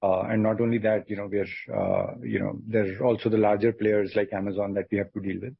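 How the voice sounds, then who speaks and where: An Indian man, a company executive, answering analyst questions in a measured, firm, explanatory way with a husky voice. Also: lowish voice, expressive, dry recording. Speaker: company executive on an earnings call. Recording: dull, lo-fi conference call audio.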